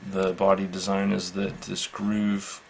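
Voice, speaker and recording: A man giving a casual, unscripted product demonstration in a matter-of-fact, halting, measured way. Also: low voice, completely flat delivery, vocal fry. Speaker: product reviewer. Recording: faint background noise.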